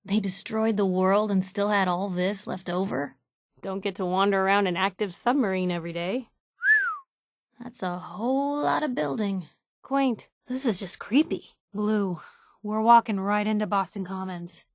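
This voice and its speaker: A woman's voice: mid-range voice, moderate pace, no room sound, studio quality, lightly acted. An actress playing a spirited young woman reporter, a sardonic and loyal video game companion, with a wry, informal, direct way of talking. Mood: wry, curious.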